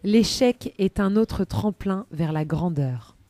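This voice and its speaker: A woman presenting a chatty live radio magazine segment in a bubbly, playful and expressive manner. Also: on the low side, slow pace, no room sound.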